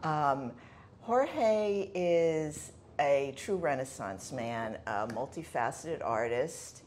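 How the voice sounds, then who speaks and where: Low-voiced chatty woman host. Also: animated, drawn-out words, a little mellow, slight background noise. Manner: eager, chatty, interjects often. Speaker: show host. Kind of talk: friendly interview chat. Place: living room in a home.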